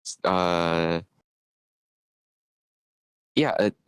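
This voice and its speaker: Man, fairly low pitch, rambling, stammering, casual slangy feedback, dry sound. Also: very slow, clean tone, no echo. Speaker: participant giving script feedback. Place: online meeting.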